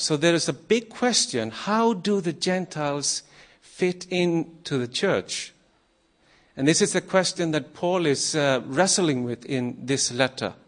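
A man from Iceland, a former missionary, giving a nervous, halting sermon to a congregation with many pauses and fillers. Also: fairly high pitch. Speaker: guest preacher.

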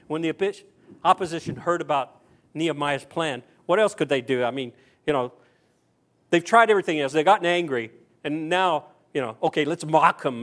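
A man's voice: a little high, fairly bright tone. A male preacher from the American South speaks. He delivers his sermon conversationally, posing rhetorical questions and circling back over key phrases to drive them in. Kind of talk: sermon with scripture reading.

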